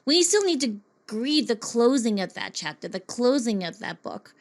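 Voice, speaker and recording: A woman's voice: on the high side, sing-song, normal speed. A woman born in Taiwan, hosting a podcast interview and speaking earnestly, stretching words for emphasis. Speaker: podcast host. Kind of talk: warm interview conversation.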